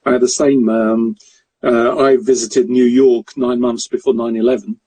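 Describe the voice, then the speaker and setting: A middle-aged man from Mozambique, a podcast guest telling stories in a relaxed, self-deprecating way with frequent chuckles. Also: medium pitch, normal speed, husky, no room sound. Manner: jokey, self-deprecating storyteller who laughs often. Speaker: guest, currency broker. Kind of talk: casual interview chat.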